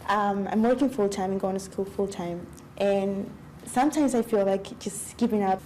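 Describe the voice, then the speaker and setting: Young woman from Mexico, mellow warm tone, earnest student. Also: medium pitch, moderate pace, slight background noise, rough recording. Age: young adult. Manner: earnest, hesitant with frequent "um". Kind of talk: conversational interview.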